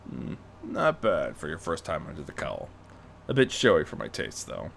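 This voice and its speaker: A man voicing a gruff old retired crime-fighter, a terse, dry and measured mentor in a story reading. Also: rumbling low, huge pitch swings, fairly bright tone, slight background noise, voice pushed down, full character voice. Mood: critical, measured.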